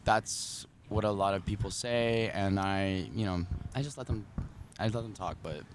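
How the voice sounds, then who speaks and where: A man in his twenties from Miami, a podcast guest chatting outdoors in a laid-back, wry way, often trailing off quietly. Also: lowish voice, normal speed, a little hiss or hum. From Miami, Florida. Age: 20s. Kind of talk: casual chat. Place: outdoors in a park.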